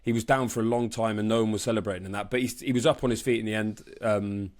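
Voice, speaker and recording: A man's voice: on the low side, fairly even intonation, quick pace. A man who works as a boxing reporter, chatting casually about sport in an informal, slangy, sweary way. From born in Brisbane, Australia. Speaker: boxing reporter and podcast co-host.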